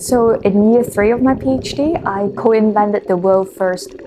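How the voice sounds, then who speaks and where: A woman in her thirties with a Hong Kong English accent, speaking at a mid pitch. She answers in a measured, thoughtful interview style, pausing for ums and uhs as she explains her science work. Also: noisy background. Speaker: marine scientist and company founder. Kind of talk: documentary interview.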